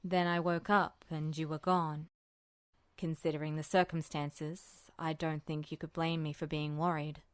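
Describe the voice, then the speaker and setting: A composed older man voiced by a woman reader in a story, set low. He speaks formally and with measured understatement, guarded yet caring. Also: little pitch movement, smooth, no room sound, clean studio sound. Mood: steady, concerned.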